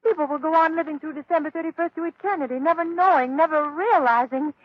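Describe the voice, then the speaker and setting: An actress playing an anxious, devoted lady companion to a crime-fighter, in emotional, breathy 1930s radio drama style. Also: sits high, quick pace, dry recording, lightly acted. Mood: frightened. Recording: muffled 1930s radio broadcast recording.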